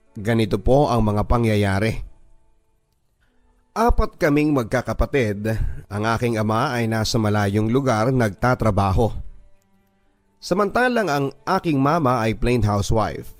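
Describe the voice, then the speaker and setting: A radio host reading listeners' letters aloud in an earnest, measured storytelling manner with emphatic pauses. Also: male voice, mid-range voice, no room sound. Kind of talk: dramatic letter reading over music. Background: soft music bed.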